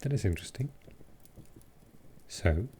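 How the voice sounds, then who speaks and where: A man playing a gentle, courteous centuries-old vampire in an intimate roleplay audio, soft-spoken and reassuring, with a calming, unhurried delivery. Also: on the low side, slight background noise. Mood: soothing, warm.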